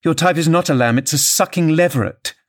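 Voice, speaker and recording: A man's voice: fairly high pitch, fairly quick, pitched above the performer's own voice, close to an everyday voice. A man voicing an impassioned, scornful young married woman with an imperious, theatrical manner in an audiobook novel. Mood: mocking. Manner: theatrical, emphatic, exclamatory.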